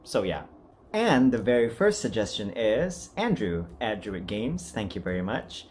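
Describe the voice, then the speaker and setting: A man's voice: on the low side, very animated, measured pace, darkish tone, slight background noise. A man chatting casually and unscripted as a video host, rambling in an apologetic, self-deprecating way. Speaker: amateur voice actor and video host. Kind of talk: casual unscripted vlog chat.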